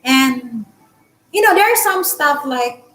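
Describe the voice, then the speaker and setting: A woman's voice: high-pitched, animated, takes it slowly, pushed and bright, roomy sound, low-quality recording. A talkative, candid woman from the Philippines with a Filipino accent, whose first language is Bisaya, chatting casually with viewers on a live stream. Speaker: vlogger answering live chat.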